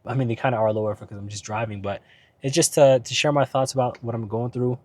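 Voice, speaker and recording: A man's voice: mid-range voice, steady tone, fast talker, darkish tone, no echo. A man talking casually about business while driving, rambling with many fillers and asides, his voice starting to go from illness. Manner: rambling, filler-heavy, keeps asking "right?". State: ill, voice starting to go. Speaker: business vlogger. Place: in a car.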